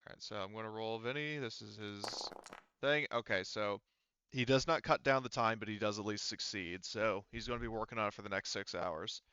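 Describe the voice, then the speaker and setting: Male game master, smooth low voice, casual and halting delivery, dry and slightly dull recording. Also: bright, forceful tone, no echo. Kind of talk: running a tabletop role-playing game, casual explaining.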